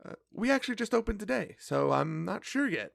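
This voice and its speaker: A polite earnest cafe owner in a fantasy podcast drama, really creaky, kinda high for a man. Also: sing-song, brisk, no echo, clean studio sound, exaggerated voice. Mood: polite, careful. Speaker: voice actor in a fiction podcast. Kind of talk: audio drama dialogue.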